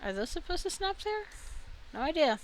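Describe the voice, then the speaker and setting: A woman's voice: extremely high pitch, sing-song, moderate pace, a little hiss or hum. A woman giving casual video game commentary, chatting and thinking aloud as she reacts to what she finds. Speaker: video game streamer and host.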